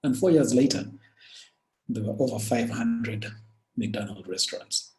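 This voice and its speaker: A man's voice: medium pitch, unhurried, dark tone. Ugandan man telling stories in an echoey room. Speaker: webinar speaker. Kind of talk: webinar talk.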